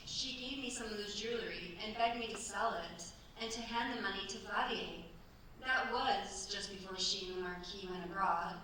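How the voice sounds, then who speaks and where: A woman's voice: medium pitch, normal speed, bright, forceful tone, close to an everyday voice. A woman playing a distressed young wife pleading her innocence in a staged radio play. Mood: anxious, pleading. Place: staged performance in a cultural centre. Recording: echoey hall, distant mic, stage noise.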